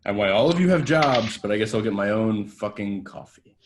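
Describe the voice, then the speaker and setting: An actor playing a hungover, cocky young actor who swears, at a table read. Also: male voice, on the low side, animated, fairly quick, a little hiss or hum. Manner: drawling, swearing.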